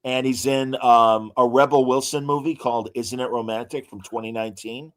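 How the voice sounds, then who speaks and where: A man co-hosting a relaxed podcast with a mid-range voice. He works through actor credits with many hesitant pauses. He breaks off now and then into showman asides to the listeners. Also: mid-range voice. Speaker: podcast co-host. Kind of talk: casual podcast chat.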